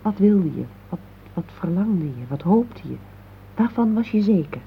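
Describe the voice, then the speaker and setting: A woman's voice: medium pitch, animated, a little slow. An actress playing a wistful married woman in a Dutch radio play, reflective and searching for her words. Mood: melancholy, searching. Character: wistful married woman longing for lost romance. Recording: dull, noisy old radio recording.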